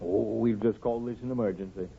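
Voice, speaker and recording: An actor playing a wry, kindly small-town doctor with a folksy, unhurried manner in a 1930s radio drama. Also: male voice, lowish voice, fairly even intonation, vocal fry, breathy edge. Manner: folksy, wry, unhurried, hesitant drawn-out asides. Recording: thin, tinny 1930s radio recording.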